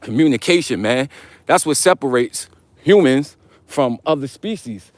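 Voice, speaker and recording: A man talks casually to his viewers in a dry, close-sounding recording, at a middling pitch for a male voice. He rambles through his thoughts in loose, slangy speech, answering comments and calling people man and brother like an easygoing host. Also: expressive, a little slow, dry recording. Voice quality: deep voice. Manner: slangy, conversational, addresses listeners as brother and man. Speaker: host of a live vlog.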